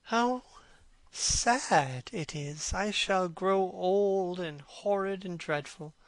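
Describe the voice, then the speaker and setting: A man voicing a vain young gentleman who despairs over growing old, pleading and anguished. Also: on the high side, measured pace, dry recording. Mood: wistful despair. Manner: pleading, rising to anguished outbursts.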